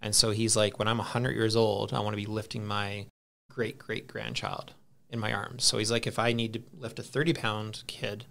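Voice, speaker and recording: A man's voice: lowish voice, flat delivery, normal speed, vocal fry, pushed and bright. A man in his thirties, a podcast guest, chatting casually in an easygoing, rambling way and laughing at himself. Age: 30s. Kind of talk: casual chat.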